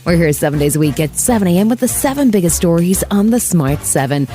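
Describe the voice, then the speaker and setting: A woman's voice: fairly low pitch, animated, fast, dark tone. A woman hosting a news podcast, reading scripted narration. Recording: clear music bed underneath. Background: music.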